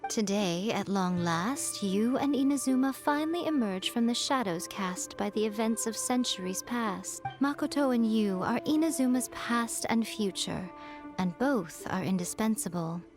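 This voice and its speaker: A woman's voice: fairly high pitch, animated, natural voice. Woman acting a poised, teasing fox-spirit shrine maiden. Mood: gently probing. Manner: poised, playful, deliberate. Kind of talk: anime game voice acting. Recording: music behind the voice.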